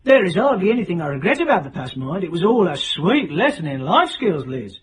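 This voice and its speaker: A middle-aged, working-class British chancer in a radio play. He is cocky and unsentimental, with a husky voice that swings widely in pitch. His talk is clipped and sardonic. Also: sing-song, a little fast, heavily acted. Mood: defiant, bitter. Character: hard, cocky, self-made working-class British man, unsentimental schemer. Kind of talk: radio drama dialogue.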